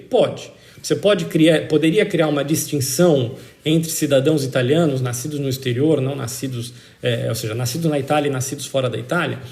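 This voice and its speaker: A man's voice: fairly high pitch, creaky, a little mellow. A man with a Brazilian accent explaining law as an interviewed expert, in an emphatic, didactic way that repeats key points for stress. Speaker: citizenship expert being interviewed. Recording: roomy, echoing sound.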